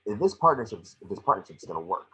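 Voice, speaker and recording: A man's voice: lowish voice, very animated, fast talker, fairly bright tone, husky, full character voice, poor audio. A man playing a small, cocky, wisecracking creature detective, snarky and bossy, in an amateur script reading.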